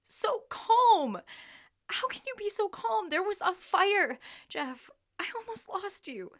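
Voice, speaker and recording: Very high-pitched, overwrought clingy woman. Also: very animated, takes it slowly, fairly bright tone, exaggerated voice. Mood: incredulous, upset. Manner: emotional, pleading, insistent. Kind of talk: audio drama acting.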